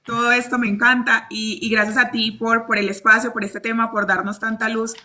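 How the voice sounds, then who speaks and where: A woman's voice: fairly high pitch, flat delivery, moderate pace, sharp tone. A woman with a Colombian accent, hosting an interview, enthusiastic and laughing easily as she asks questions. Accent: Colombian Spanish. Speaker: interviewer, host. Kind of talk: conversational interview.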